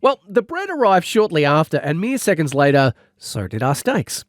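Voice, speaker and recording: A man's voice: on the high side, big pitch swings, moderate pace, no room sound, studio quality. A man reading a comic first-person audiobook as a wry, exaggerating storyteller. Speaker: audiobook narrator. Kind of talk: comedic scripted narration.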